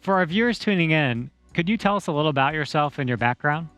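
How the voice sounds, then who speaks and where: A man hosting an interview, reading a scripted introduction and questions in a measured way. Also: a little high, animated, fairly bright tone, dry recording. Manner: measured, read-from-script delivery. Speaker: interview host. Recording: dry close mic with faint music.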